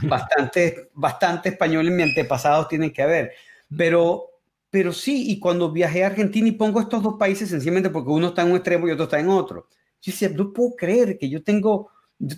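A man's voice: on the high side, a little mellow. Enthusiastic man on a call. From Venezuela. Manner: enthusiastic, reflective storytelling. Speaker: interview guest, musician and comedian. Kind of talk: conversational interview. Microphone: remote call.

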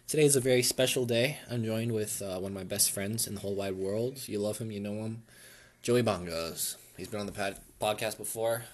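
A man hosting a comic podcast with deadpan, mock-formal delivery and many fillers. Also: fairly low pitch, brisk, a little hiss or hum. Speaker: podcast host.

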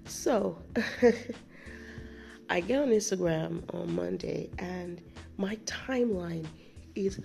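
A woman hosts her own podcast in a loose, rambling storytelling style, full of restarts and little laughs. Her voice sits a bit low with heavy gravelly fry, worn from a cold that left a chesty cough. A quiet music bed runs faintly underneath. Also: slow pace, pauses often. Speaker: podcast host. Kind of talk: casual storytelling monologue.

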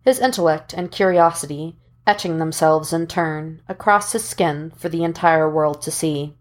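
A woman narrating fan fiction as an audiobook reader, in measured, deliberate phrases with dramatic pauses. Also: deep voice.

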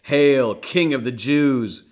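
A man voicing rough Roman soldiers, loud and jeering. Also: medium pitch, moderate pace, pitched above the performer's own voice, lightly acted.

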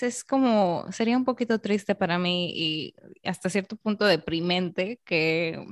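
A woman from Peru hosting a casual conversation, speaking thoughtfully with pauses. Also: on the low side, dry recording, studio quality.

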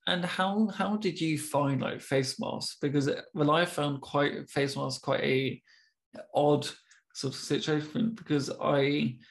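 Young male interviewer, stammers, flat delivery. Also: a little high, vocal fry. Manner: stammers, with repeated words and long pauses. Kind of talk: casual conversation.

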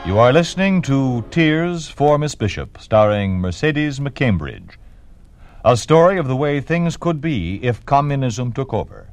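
A male announcer introducing a 1950s radio drama in a measured, declamatory way. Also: medium pitch, big pitch swings, no room sound.

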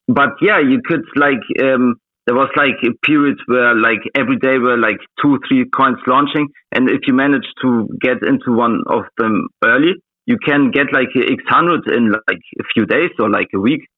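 A man whose first language is Russian, chatting casually as an interview guest, halting and searching for words in English. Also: medium pitch, no room sound. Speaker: interview guest, meme coin trader. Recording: thin phone-like voice-call audio.

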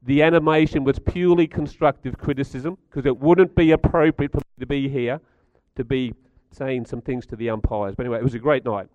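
Middle-aged man with a croaky voice, chatty talk to an audience, mid pitch, dry muffled recording. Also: steady tone, brisk, few pauses, darkish tone, no room sound. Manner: chatty, stats-driven, asks the audience questions. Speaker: speaker at a community gathering.

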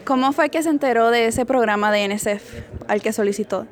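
A woman's voice: a little high, a little fast. A woman, interviewing a guest with short, friendly questions.

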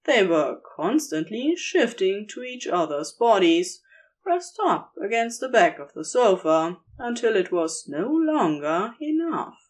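Female narrator for romantic audio fiction, unhurried delivery with lively melodic swings. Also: medium pitch. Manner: slow, measured, expressive reading. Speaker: audiobook-style podfic narrator. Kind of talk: scripted fiction narration, intimate storytelling.

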